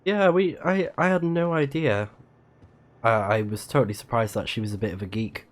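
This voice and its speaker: A man's voice: medium pitch, moderate pace. Casual man commenting as he plays. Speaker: streamer playing a story game. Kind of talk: casual let's play commentary.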